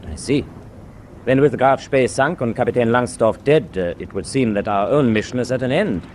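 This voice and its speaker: An actor playing a worried, dutiful German chief engineer in a radio drama, speaking formally and respectfully. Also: male voice, medium pitch, normal speed, a little mellow, slight background noise, close to an everyday voice. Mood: grave, anxious.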